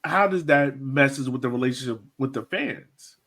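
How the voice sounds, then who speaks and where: Casual male podcast host, medium pitch. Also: fairly bright tone. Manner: informal, halting, builds questions in fragments. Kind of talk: casual conversational interviewing.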